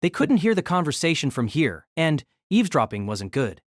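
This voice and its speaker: A woman narrator reads a light novel in a scripted storytelling style. Her pitch moves in a lively, animated way, and the recording is close and dry. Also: moderate pace, no echo. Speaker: narrator and reader.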